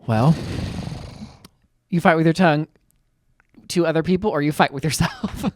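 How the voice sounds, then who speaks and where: A man co-hosting a podcast in casual comedic chat, excitable and theatrical, jumping in with quick reactions. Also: a little high, no room sound, studio quality. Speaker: podcast co-host.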